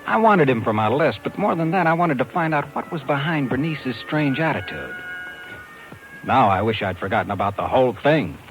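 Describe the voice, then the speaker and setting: An actor playing a brash, persistent, inquisitive talent agent, narrating and acting in hardboiled 1950s radio style. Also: male voice, medium pitch, very animated, normal speed, lightly acted, poor audio. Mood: wry, brooding. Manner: hardboiled, insistent. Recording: vintage 1950s radio with music behind.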